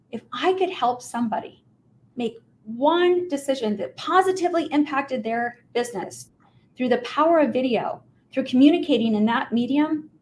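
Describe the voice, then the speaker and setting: Middle-aged American woman, high voice, conversational presenter who speaks straight to listeners with emphasis. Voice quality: high-pitched voice. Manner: emphatic, informal, direct address to listeners. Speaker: mortgage professional giving a talk on video marketing. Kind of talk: motivational business presentation, conversational storytelling.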